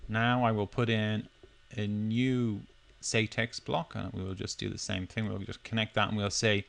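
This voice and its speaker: A man presenting a software demo, talking through it step by step in a loosely unscripted, explanatory way. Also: fairly low pitch, level tone, normal speed, gravelly. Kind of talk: step-by-step screencast tutorial narration.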